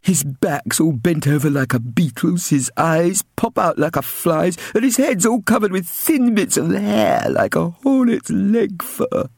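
A man's voice: high voice, expressive, a little slow, gravelly, hardly any pauses, a little mellow, husky, no room sound, studio quality, pitched above the performer's own voice, full character voice. A man voicing a large, cruel bully boy who sneers, in a storybook reading. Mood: sneering.

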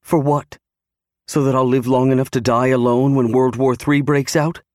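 A man's voice: mid-range voice, flat delivery, normal speed, a little mellow, no echo, studio quality, pitched above the performer's own voice, lightly acted. A man voicing a distraught, pleading wife of twenty-nine in an audiobook, afraid of losing her husband. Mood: upset, accusing. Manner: pleading, protesting. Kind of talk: audiobook character acting.

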